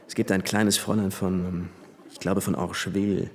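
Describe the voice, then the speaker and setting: An actor playing a flippant, worldly young French aristocrat and army officer in a radio play, chatting with easy familiarity. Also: male voice, deep voice, little pitch movement, moderate pace, darkish tone, slight background noise, natural voice. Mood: worldly, casual. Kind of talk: German radio play dialogue. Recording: long hall reverb.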